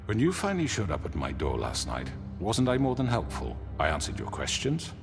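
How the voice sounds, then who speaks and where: An actor playing a suave, cunning older gentleman crime boss in a video game, polite, haughty and smooth-talking. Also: sits low, huge pitch swings, brisk, big-room sound, music in the background, exaggerated voice. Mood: persuasive, unruffled. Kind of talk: dramatic video game villain acting.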